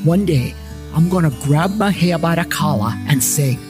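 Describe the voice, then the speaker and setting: Male narrator, comedic first-person storytelling with dry asides, clearly audible plucked guitar underscore. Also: on the high side, animated, normal speed. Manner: wry, irreverent, rambling asides to the listener. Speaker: storyteller narrator and host of a paranormal investigations series. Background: plucked guitar music.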